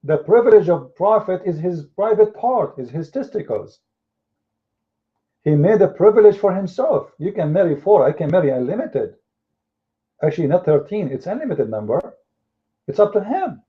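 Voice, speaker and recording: A man's voice: high voice, big pitch swings, moderate pace. A man whose first language is Arabic, with an Arabic accent, arguing heatedly in a live debate and firing off mocking rhetorical questions. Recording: phone-like lo-fi audio.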